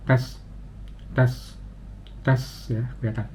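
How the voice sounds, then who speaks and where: A man giving a casual step-by-step demonstration, talking in a halting way as he thinks aloud with frequent pauses. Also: mid-range voice, level tone, dark tone. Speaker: motovlogger giving a gear tutorial. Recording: muffled, dull audio with clear ambient noise.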